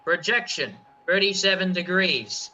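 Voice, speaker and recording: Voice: medium pitch, animated, a little slow, pushed and bright, highs cut off. An actor playing a commanding artillery officer in a radio play, giving clipped firing orders.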